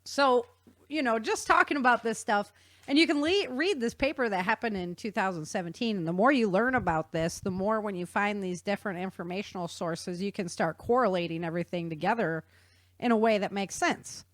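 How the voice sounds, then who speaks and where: A woman's voice: medium pitch, a little fast, no room sound. A woman hosting a talk show, explaining science in a chatty, informal way and checking in with her listener.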